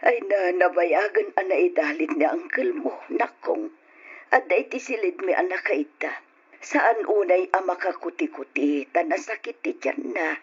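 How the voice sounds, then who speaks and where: Husky, emotional aunt. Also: female voice, fairly low pitch. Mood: worried. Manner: emotional, drawn-out expressive delivery. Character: affectionate, emotional, tearful middle-aged aunt. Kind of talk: old radio drama acting. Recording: dull old radio recording.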